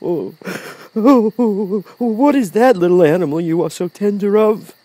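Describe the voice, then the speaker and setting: A man voicing a big cowardly lion from a storybook, ashamed and easily astonished, with a drawn-out, halting delivery. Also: high voice, dark tone, no room sound, pitched above the performer's own voice. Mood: astonished, curious. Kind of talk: storybook character voice.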